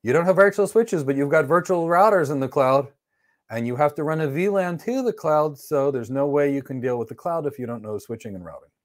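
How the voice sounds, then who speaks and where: A man's voice: fairly high pitch, a little fast. Blunt middle-aged male tech instructor. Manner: blunt, emphatic, matter-of-fact. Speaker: networking instructor. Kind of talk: live-stream Q&A teaching. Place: indoor studio with virtual backdrop.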